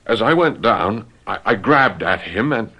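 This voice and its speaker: An actor playing a distraught young French gendarme in a radio mystery drama, stammering and agitated in a melodramatic way. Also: male voice, fairly low pitch, animated, measured pace, bright, forceful tone, breathy edge, exaggerated voice, low-quality recording. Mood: shaken. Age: young adult.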